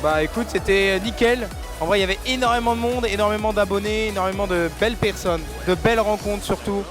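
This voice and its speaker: Man, high-pitched, gushing casual event presenter, music in the background. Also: a little fast. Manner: enthusiastic, repeats himself for emphasis. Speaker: streamer and stand presenter. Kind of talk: casual interview chat addressed to viewers. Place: convention stand. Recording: loud music behind the voice.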